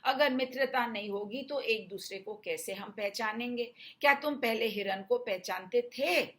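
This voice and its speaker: Woman voicing a sly, high-pitched storybook jackal. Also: a little slow, a bit bright. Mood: smoothly argumentative. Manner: pleading, argumentative. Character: sly male jackal feigning lonely sorrow, cunning. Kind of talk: children's storybook voice.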